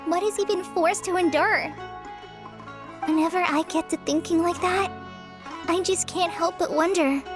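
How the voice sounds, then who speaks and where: A woman's voice: very high-pitched, steady tone, measured pace, long pauses, over music. A woman voicing an earnest young companion in a game who talks in a hesitant, troubled way. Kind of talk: game voice acting.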